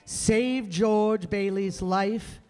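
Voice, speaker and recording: A man plays a grave, authoritative angel in a radio drama. He speaks slowly and evenly, a little high in pitch. The hall rings around him. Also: darkish tone, clear, resonant tone. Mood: calm, grave. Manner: measured, unhurried. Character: calm, authoritative senior angel. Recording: echoey hall, music behind.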